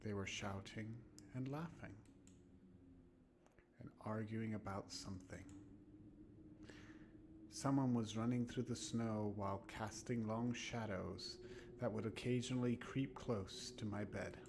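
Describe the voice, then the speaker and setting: A man putting on a storytelling voice to narrate an eerie horror tale in a hushed, deliberate way. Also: lowish voice, fairly even intonation, background noise, voice pushed down. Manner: hushed, deliberate, smooth reading.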